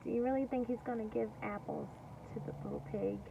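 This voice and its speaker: A woman teacher reading a story aloud to young children, expressive and deliberate, pausing to explain and ask questions. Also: on the high side, gravelly. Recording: distant, dull lo-fi recording with faint noise.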